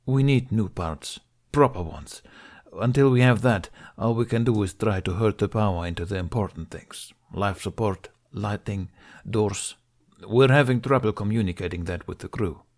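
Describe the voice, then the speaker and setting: A man's voice: fairly low pitch, normal speed, darkish tone. A male reader plays a weary military commander in a science fiction audiobook. He is dutiful and plain-spoken. He reports grim damage with tired practicality. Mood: grim, matter-of-fact. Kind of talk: acted audiobook dialogue in a science fiction story.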